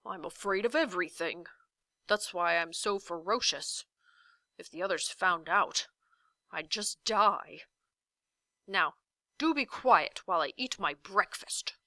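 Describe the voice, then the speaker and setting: Huge jelly giant, acted by a woman, blustering, nervous, hedging, bright forceful tone, storybook read-aloud. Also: on the low side, animated, unhurried, pauses often, dry recording, heavily acted. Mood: nervous confession. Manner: blustering, hesitant, hedging every statement. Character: huge gelatinous giant, ferocious yet timid and indecisive.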